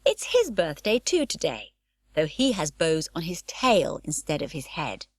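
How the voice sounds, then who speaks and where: A woman's voice: measured pace, no room sound, exaggerated voice. A woman reader voicing a bold, cheeky little red-haired girl in a storybook. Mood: cheerful. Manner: high, bright and excited.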